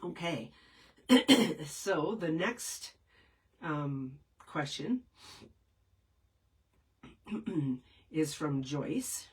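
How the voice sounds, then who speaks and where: Woman w a head cold, deep voice, kinda rambly, lots of ums, mic sits a bit far off. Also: lively intonation, very slow, pauses often. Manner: meandering, many fillers and restarts. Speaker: spiritual teacher answering viewer questions. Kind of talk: casual live Q&A.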